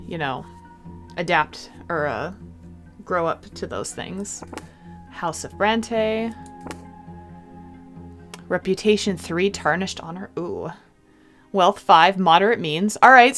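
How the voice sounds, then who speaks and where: A woman doing a let's-play of a visual novel, reading the text aloud expressively and adding casual, chatty comments. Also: mid-range voice. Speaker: game streamer.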